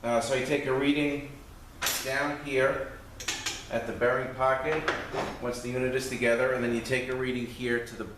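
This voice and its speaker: A man's voice: medium pitch, level tone, normal speed. A man giving an informal hands-on walkthrough, explaining step by step like a mechanic teaching a repair. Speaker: transmission mechanic demonstrating repair. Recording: roomy echoing space, distant mic, faint noise.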